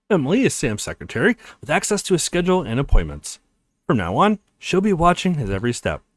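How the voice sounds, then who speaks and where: A man's voice: fairly high pitch, no room sound. A man voicing an earnest young college guy who explains his scheme in a visual novel read-aloud.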